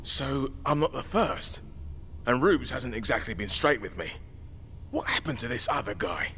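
Bright, sardonic British male survivor. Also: medium pitch, animated, normal speed. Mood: impatient. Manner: flippant, slangy, sardonic. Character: wisecracking sardonic streetwise male zombie-apocalypse survivor. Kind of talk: video game voice acting. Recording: faint background ambient noise.

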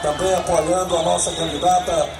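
A man's voice: high voice, flat delivery, normal speed, gravelly, heavy background noise. A man announcing guests to the stage at an outdoor political rally, calling out formal introductions. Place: outdoor town square rally. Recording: distant echoey voice in a roaring crowd.

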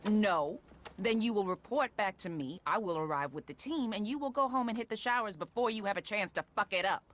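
A woman's voice: mid-range voice, lively intonation, brisk, natural voice. An actress playing a stern, foul-mouthed female security boss in a video game, curt, commanding and sarcastic. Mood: stern, scornful. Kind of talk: video game cutscene dialogue.

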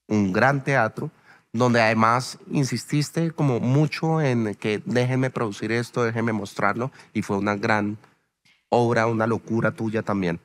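A man in his thirties with a Colombian accent, hosting a late-night talk show interview and asking teasing, playful questions. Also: fairly low pitch. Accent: Colombian Spanish.

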